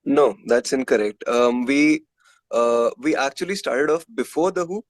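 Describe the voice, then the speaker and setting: A man's voice: mid-range voice, unhurried, dry recording. Indian man chatting casually in an interview. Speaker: musician being interviewed.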